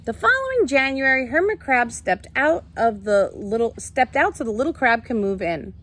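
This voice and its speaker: Lively woman teacher reading aloud. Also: a little high, moderate pace. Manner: animated, addressing children with questions. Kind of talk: expressive picture-book read-aloud.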